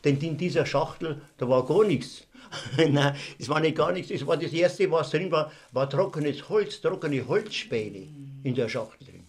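An older man recounting memories in an interview, reflective and halting, repeating and correcting himself as he goes. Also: a little high, expressive. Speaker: eyewitness interviewee. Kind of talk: spoken recollection in an interview.

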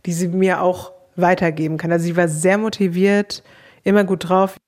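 A woman's voice: fairly low pitch, little pitch movement, normal speed, darkish tone. A young woman casually recounting her own experience as an interviewee, colloquial, with bits of English slang. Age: young adult. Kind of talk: casual spoken recollection.

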